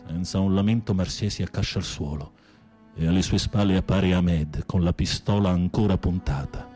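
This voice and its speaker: An actor in an Italian radio drama playing a sensitive prisoner with a literary, dramatic, expressive way of speaking. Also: male voice, sits low, moderate pace, soft background music, natural voice. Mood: melodramatic storytelling. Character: theatrical, sensitive male prisoner telling melodramatic tales.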